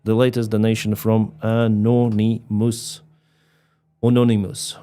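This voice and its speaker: A man with a Ukrainian accent chats on a live stream, reading donor names aloud and laughing easily between them. His voice sits fairly low. He talks at an unhurried, drawn-out pace. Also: flat delivery, mellow. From Crimea. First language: Russian. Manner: chatty, laughs often, reads out donor names. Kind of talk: casual live stream chat reading donations.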